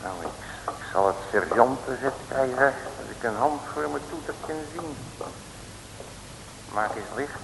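A hissy, reverberant old radio recording carries a folksy detective. He has a creaky, gravelly voice and talks in colloquial, wry mutters, cheeky and never ruffled. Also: medium pitch, animated, measured pace. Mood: cheerful bluster. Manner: colloquial, drawling muttered asides. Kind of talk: 1960s Dutch radio serial acting.

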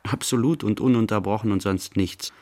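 An actor playing a pensive, serene man in a radio play, speaking a reflective literary monologue in halting fragments. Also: lowish voice, darkish tone, natural voice. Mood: musing, serene. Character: pensive man musing inwardly, mildly serene. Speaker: actor, narrator.

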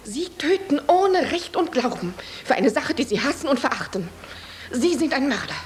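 An actress playing a grieving, unyielding widow in a radio play, with a stern, declamatory delivery. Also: female voice, sits high, big pitch swings, normal speed, slight background noise. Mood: righteous anger. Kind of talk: theatrical radio drama acting.

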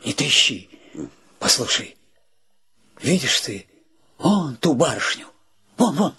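An actor playing an earnest, soft-hearted bachelor in a radio play, with a very husky voice. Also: male voice, medium pitch, big pitch swings, slow, heavily acted. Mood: hushed, urgent.

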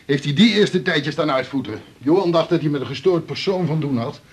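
A man's voice: on the high side, lively intonation, brisk, slightly rough. An actor playing a chatty, confiding male hospital doctor in a radio drama. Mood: gossipy. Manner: informal, gossipy, confiding. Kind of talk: 1980s Dutch radio drama acting.

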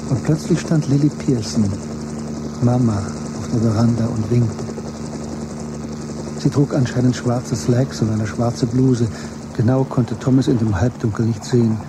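A man narrating a literary radio drama from a script in a measured, understated way. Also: medium pitch, very noisy.

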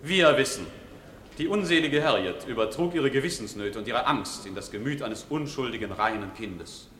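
A man plays an eloquent defence lawyer in an old radio courtroom drama. His formal, pressing questions swing widely in pitch. The voice rings through an echoing hall on a faintly hissy 1950s recording. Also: expressive, normal speed, fairly bright tone, lightly acted. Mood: insistent.

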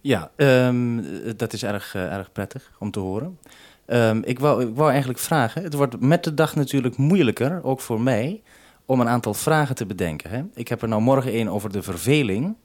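A man's voice: mid-range voice, animated, moderate pace, darkish tone. A man with a Dutch accent working as a radio host, talking businesslike over a two-way radio link. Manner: businesslike radio procedure, ends turns with "over". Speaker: radio host at base station. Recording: 1970s radio link recording.

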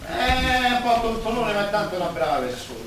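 A man's voice: high voice, sing-song, brisk. A man acting a chatty, deferential and droll working-class Roman in a dialect radio play. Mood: earnest, gossipy. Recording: distant, echoey room, noisy live recording.

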